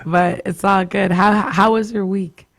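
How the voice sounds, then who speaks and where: A woman hosting a casual radio chat, her voice raspy and hoarse after a cough, talking in a halting way and laughing often. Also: mid-range voice, steady tone. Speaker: radio show host, spiritual doula.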